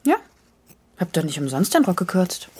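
An actress playing an excitable, impulsive young woman in a naturalistic radio play, a little breathless. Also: lowish voice, normal speed, a little hiss or hum. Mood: indignant. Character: Rita, excitable young woman, keen tango and twist dancer. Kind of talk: naturalistic radio drama acting. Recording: radio play with music behind.

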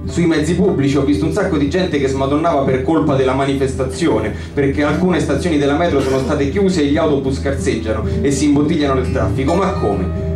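A man reading a first-person literary story in an expressive, dramatic, bitter and brooding way. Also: medium pitch. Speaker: reader of a short story. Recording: roomy live reading, distant mic, guitar behind. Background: acoustic guitar accompaniment.

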